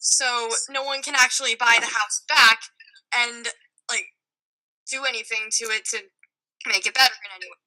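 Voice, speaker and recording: A young adult woman telling a casual personal story in an informal, halting way. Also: a little high, fairly even intonation, sharp tone, poor audio. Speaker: podcast guest.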